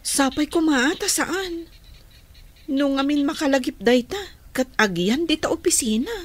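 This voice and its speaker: High-pitched young Ilocano working student. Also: female voice, lively intonation, measured pace. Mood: wary, gossipy. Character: young female restaurant worker, hopeful working student, chatty. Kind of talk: Ilocano radio drama acting.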